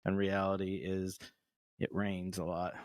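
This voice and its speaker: A man's voice: low-pitched, completely flat delivery, measured pace, no room sound, clean studio sound. A man co-hosting a casual movie review podcast, explaining his thoughts with fillers and restarts. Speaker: podcast co-host.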